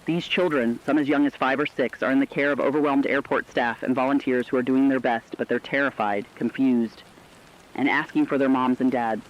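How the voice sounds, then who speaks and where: Muffled radio audio, guy playing a worried caring co-host in a radio drama, earnest and emotive, medium pitch. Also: fairly even intonation, a little fast, lightly acted. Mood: somber concern. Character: concerned, compassionate male radio co-host from New York. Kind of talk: scripted radio commentary.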